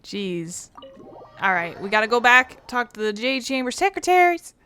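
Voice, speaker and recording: High-voiced woman doing casual gaming commentary. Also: big pitch swings, normal speed, bright, forceful tone, slight background noise. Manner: wry, swears freely. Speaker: gaming video host. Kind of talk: casual let's play commentary.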